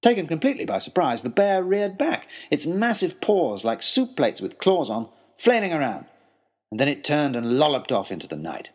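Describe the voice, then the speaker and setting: Animated man narrating a story. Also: a little high, normal speed. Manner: expressive, wry, formal storytelling. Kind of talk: scripted first-person story narration.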